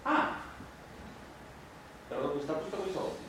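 A man's voice: on the high side, very animated, moderate pace, far from the mic, noisy background. A man playing a suspicious, hot-tempered street actor who insists and repeats himself in a live stage farce, recorded in a very echoey space. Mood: insistent, accusing. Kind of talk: live theatre farce dialogue.

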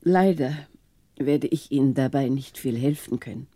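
A woman's voice: very deep voice, normal speed, warm tone, slightly rough, dry recording, studio quality. An actress playing a weary, reserved bereaved mother in a 1960s German radio crime drama, speaking hesitantly. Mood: subdued, sad. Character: weary, sorrowful bereaved mother, reserved middle-aged lady.

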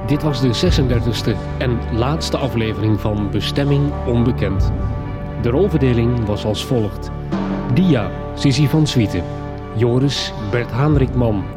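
A man reading scripted radio credits as an announcer, measured and formal. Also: medium pitch. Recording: music under the voice. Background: music.

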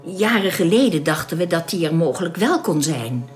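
A woman acting in a radio drama as a calm, welcoming young alien who explains things politely and with composure. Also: lowish voice, normal speed, soft background music, natural voice. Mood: earnest, explanatory.

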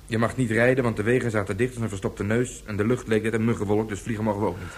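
Casting: reluctant, dry-humoured pal, radio play; brisk raspy voice, colloquial and careful. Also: male voice, on the low side, fairly even intonation. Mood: reluctant, hedging. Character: cautious, reluctant, droll friend of a boy. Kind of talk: Dutch science-fiction radio play acting.

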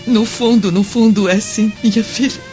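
A woman playing a gentle, sorrowful Roman mother in a radio drama. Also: medium pitch, fairly even intonation, normal speed, close to an everyday voice. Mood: sorrowful, faltering. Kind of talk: radio drama acting in Portuguese. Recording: muffled radio drama under loud music.

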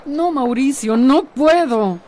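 A woman's voice: high-pitched, slow pace, mellow, slight background noise. A Costa Rican woman playing an anxious, fragile woman in a radio drama, faltering and pleading. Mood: distressed, anxious. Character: anxious, fragile woman facing her abusive past.

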